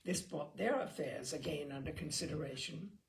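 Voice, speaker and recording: A woman reading a classic letter aloud as narrator, with expressive, lively storytelling. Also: sits low, moderate pace, vocal fry, a little hiss or hum.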